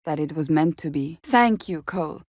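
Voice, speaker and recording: A woman's voice: sits low, expressive, moderate pace, a little mellow, dry recording, studio quality. An actress playing a stern, devout, blunt warrior woman in a video game, speaking formally and earnestly in clipped phrases. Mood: sober, reserved. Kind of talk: video game character dialogue.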